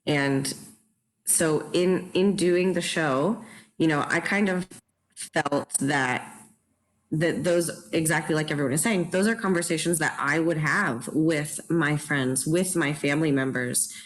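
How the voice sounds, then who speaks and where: A woman with a low-pitched voice speaks in a panel discussion as a theatre director. She is earnest and reflective, leaning on key words for emphasis and often checking that others follow. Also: a little slow, poor audio.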